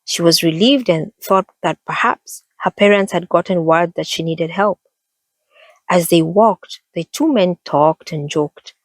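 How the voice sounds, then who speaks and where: A woman reading a children's story aloud as an expressive storyteller. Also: on the low side, a little slow, no echo.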